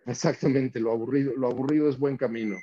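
A man's voice: mid-range voice, steady tone, fast talker, warm tone. A man with a Mexican Spanish accent, hosting a podcast interview in a casual, friendly way, often repeating words as he goes. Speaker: podcast host. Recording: slightly muffled audio.

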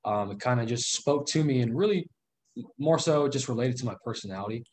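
A man's voice: mid-range voice, lively intonation, brisk, a little mellow, a little hiss or hum. A man in his twenties, a guest in a casual interview, earnest and loose with fillers. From Southern California. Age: 20s. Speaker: guest, real estate investor.

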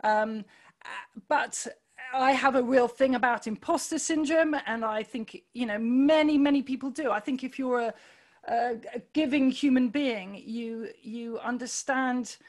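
A woman with a loud voice, talking earnestly in an interview, pausing often with fillers and restarts. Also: a little high. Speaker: podcast guest, running club founder. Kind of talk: reflective conversational interview.

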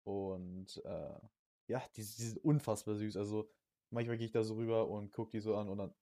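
A young man co-hosting a podcast in casual chat, using youth slang and often halting to search for words. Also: on the low side, fairly even intonation, warm tone, dry recording. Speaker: podcast co-host.

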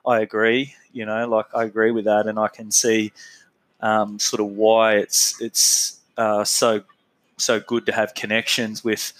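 A man's voice: lowish voice, monotone, a little slow, fairly bright tone, low-quality recording. An Australian man in his thirties, an interview guest talking casually and laughing often, with plenty of fillers. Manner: laid-back, rambling, laughs often, lots of fillers.

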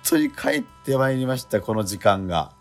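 A man's voice: fairly low pitch, wildly swooping pitch, brisk, creaky, faint music behind. A man hosting a radio programme, introducing it in a casual, conversational way and often seeking agreement. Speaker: host.

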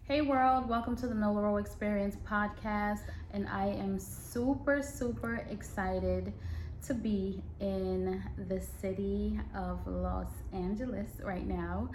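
A woman podcast host from Guyana speaks in an emphatic, animated way. She chats casually and punches her points as she tells a story. Her voice sits at a middle pitch. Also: slow, slight background noise, rough recording.

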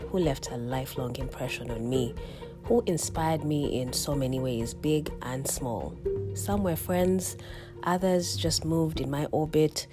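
A Ugandan woman with a very deep voice hosts her own podcast, telling personal stories in a reflective, easygoing way. Her voice is a little croaky from a recent cold. Music plays clearly under her speech. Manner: warm reflective storytelling, quick chuckles. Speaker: podcast host.

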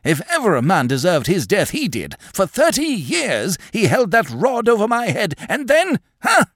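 An agitated old gentleman from a storybook, pitched far above a normal man's voice. He is excitable and abrupt, swinging wildly as he rambles in a sharp, strained tone. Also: moderate pace, dry recording, clean studio sound, heavily acted. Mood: excited. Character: agitated, wild old gentleman with a feeble mind.

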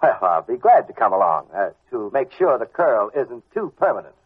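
A man's voice: medium pitch, very animated, moderate pace, natural voice. An American actor playing a wry, easygoing private detective in an old-time radio drama, casual and a little hesitant as he talks and narrates. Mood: concerned, insistent. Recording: muffled vintage radio recording with music.

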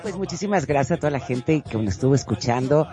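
A man's voice: medium pitch, animated, quick pace, dark tone, faint music behind. A man with a Mexican accent speaking casually as a co-host on a radio show. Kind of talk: casual radio sign-off with thanks.

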